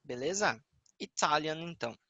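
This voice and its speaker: A male English teacher with a Brazilian Portuguese accent, explaining a lesson patiently and repeating words slowly. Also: fairly high pitch, lively intonation, sharp tone, no echo.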